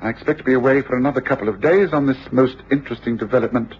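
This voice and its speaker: An actor playing a shrewd, commanding gentleman detective with an English accent, precise and authoritative, in old radio drama. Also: medium pitch, rapid-fire, close to an everyday voice. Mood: grave, urgent. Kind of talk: 1950s-60s radio drama acting. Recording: dull, noisy old radio drama recording.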